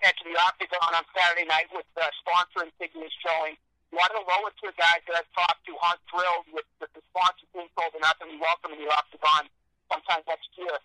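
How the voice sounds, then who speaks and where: A man's voice: high-pitched, bright, forceful tone, no echo, rough recording. A male reporter asking a long, hesitant, roundabout question on a telephone press conference call.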